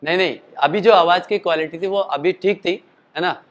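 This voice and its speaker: A man with a slightly high voice leads a casual voice lesson, explaining in a chatty, rambling way. His throat sounds tired from a show the night before. He breaks off now and then to demonstrate calls and sung notes. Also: normal speed. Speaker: singing and voice teacher.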